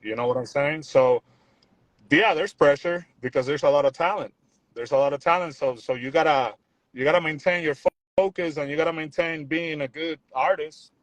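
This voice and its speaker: A man's voice: a little high, moderate pace, dry recording, poor audio. Chill young guy from puerto rico, talks loose and repeats himself, dry close sound. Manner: relaxed, rambling, repeats words, says "you know what I'm saying". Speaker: musician guest. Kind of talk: casual interview chat.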